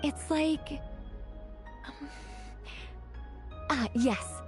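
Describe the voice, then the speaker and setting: Self-assured young woman, higher-pitched. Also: drawn-out words, music in the background. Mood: composed, explanatory. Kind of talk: video game dialogue.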